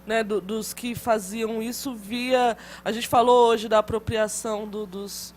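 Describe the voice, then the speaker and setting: A woman with a fairly high voice lectures a class in a loose, conversational way, often checking in with tag questions and restarting her phrases. A faint background hum runs under the recording. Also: fairly even intonation, measured pace, darkish tone.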